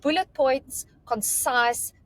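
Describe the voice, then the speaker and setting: South african lady, kinda high voice, calm how-to advice for nurses on a webinar. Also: slow pace. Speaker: recruitment guest. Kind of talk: giving career advice on a webinar.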